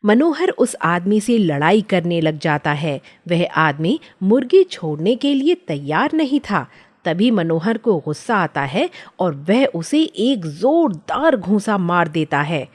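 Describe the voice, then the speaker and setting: A woman's voice: lowish voice, big pitch swings, takes it slowly. A woman reading scripted children's story narration.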